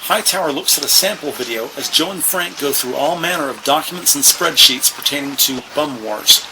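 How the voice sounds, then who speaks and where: An actor narrating a police procedural audio drama as a measured, factual male storyteller. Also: on the high side, expressive, background noise.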